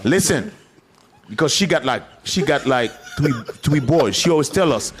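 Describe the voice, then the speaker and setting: A man's voice: a little high, normal speed. A man from Haiti telling stories emphatically in a casual group discussion on a talk show. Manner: animated storytelling, emphatic repetition.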